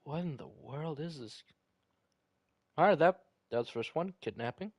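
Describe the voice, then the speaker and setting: A man reading a visual novel game aloud as dramatic narration, in a halting way. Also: fairly high pitch, expressive, dry recording, clean studio sound. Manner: halting, rereads phrases when stumbling. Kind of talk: dramatic read-aloud narration with casual asides. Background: game piano music.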